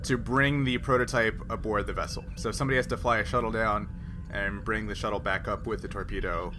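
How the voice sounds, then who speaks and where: A man's voice: on the low side, steady tone, a little fast. A man acting as game master, narrating a tabletop role-playing game in an informal, conversational way. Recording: faint background noise.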